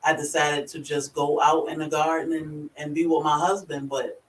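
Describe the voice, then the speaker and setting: A woman with a rural Virginia accent hosting a casual livestream, chatty and quick to laugh as she greets viewers by name. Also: low voice, little pitch movement. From Virginia, USA. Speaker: livestream host. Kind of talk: casual live chat. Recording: echoey room, far from the mic.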